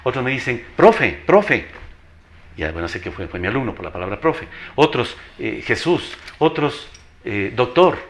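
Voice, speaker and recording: An older man with an Ecuadorian accent speaks as a television interview guest. His voice is strong, like an announcer's, at a medium pitch. He tells anecdotes emphatically, repeating phrases and acting out the dialogue. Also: big pitch swings, moderate pace. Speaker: interview guest, veteran teacher.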